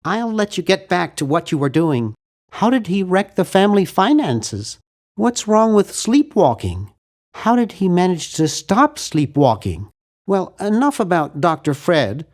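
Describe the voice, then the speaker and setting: An American man voicing a nerdy, nervous young man in a cartoon adventure game, earnest and fussy, with a nasal, awkward, excitable delivery. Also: high-pitched, a little slow. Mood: awkward, polite.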